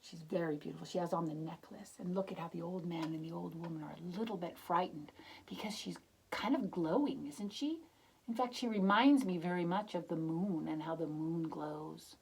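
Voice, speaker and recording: A woman reading a storybook aloud to young children, expressive, with simple explanatory asides and gentle questions. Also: fairly low pitch, normal speed, creaky, a little hiss or hum, poor audio.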